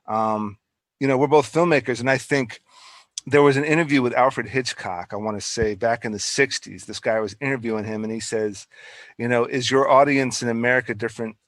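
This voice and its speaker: A man's voice: mid-range voice, no room sound. A man hosting a casual podcast interview, who rambles and stumbles as he builds his point.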